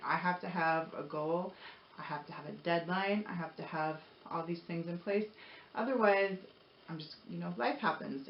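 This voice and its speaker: A woman telling her personal story in a casual, motivational vlog style, conversational and repeating phrases for emphasis. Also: lowish voice. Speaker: vlogger. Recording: roomy echo, dull sound, faint noise.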